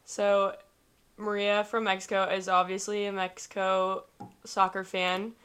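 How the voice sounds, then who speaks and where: A woman's voice: medium pitch, flat delivery, a little slow, a bit bright, clear, resonant tone. A young woman hosting a casual podcast, chatty and upbeat, steering the talk with her guest. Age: 20s. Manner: chatty, upbeat, leads the conversation. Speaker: podcast host. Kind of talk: casual chat.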